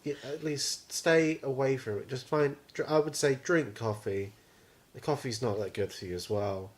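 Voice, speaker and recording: A man in his twenties talks in a loose, rambling way as a podcast co-host. His voice sits at an ordinary middle pitch, and he drops swear words casually into easygoing chat. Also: normal speed. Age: 20s.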